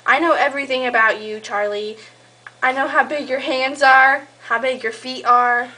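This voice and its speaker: High-voiced young woman teasing on a call. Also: steady tone, normal speed, bright, forceful tone. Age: young adult. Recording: noisy amateur home camera recording.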